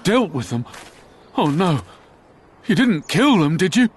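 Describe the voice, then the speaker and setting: A fearful villager from a fantasy game. His high voice swings with dismay over faint background ambience. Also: big pitch swings, a little slow, a little hiss or hum. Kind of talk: video game cutscene acting.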